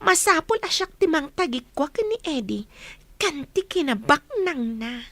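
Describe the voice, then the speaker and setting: A woman's voice: extremely high pitch, a bit bright, no room sound, exaggerated voice. A woman acting in a radio drama as a scheming young mistress, pouty and gloating.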